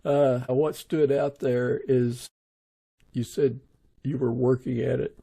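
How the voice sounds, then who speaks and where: A man's voice: medium pitch, little pitch movement, slow pace, gravelly, warm tone, slightly rough. A man hosting a podcast interview, speaking in a reflective, affirming and conversational way. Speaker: podcast host.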